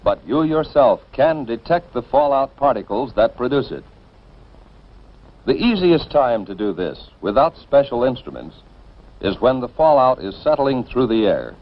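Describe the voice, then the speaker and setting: An American man reading scripted 1950s instructional film narration in an authoritative, deliberate manner, addressing the listener directly and emphatically. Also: medium pitch, big pitch swings. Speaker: narrator of civil defense educational film. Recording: dull band-limited vintage film soundtrack.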